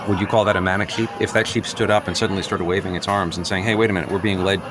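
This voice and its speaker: A man's voice: low-pitched, fairly even intonation, rapid-fire, background noise, lightly acted. A man improvising a radio-play role as a manic, defensive office worker who philosophizes in earnest, hesitant rambles. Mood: agitated, philosophizing.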